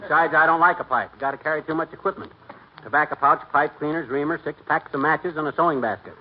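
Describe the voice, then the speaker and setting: An actor playing a folksy, cocky, bumbling husband who tinkers, brash and slangy, in 1940s radio comedy. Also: male voice, fairly high pitch, fairly even intonation, fast talker, muffled recording, lightly acted. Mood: matter-of-fact. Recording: very noisy, band-limited 1940s radio recording.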